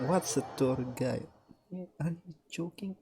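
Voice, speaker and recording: A man's voice: medium pitch, slow, noisy background. A man with an Indonesian accent giving casual live commentary as he plays a video game. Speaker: gaming commentator. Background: game music.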